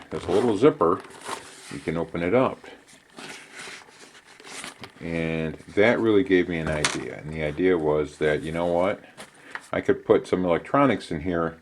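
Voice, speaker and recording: A middle-aged man with a low-pitched voice walks through a do-it-yourself demonstration. He talks casually and takes his time, often pausing to find the next word. There is noticeable background noise on the recording. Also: darkish tone. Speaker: DIY channel host. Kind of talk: casual hands-on how-to demonstration.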